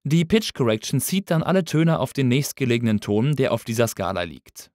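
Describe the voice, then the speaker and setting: A man narrating a scripted tutorial as an instructor, in a measured, explanatory way. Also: mid-range voice, big pitch swings, darkish tone, no echo, studio quality.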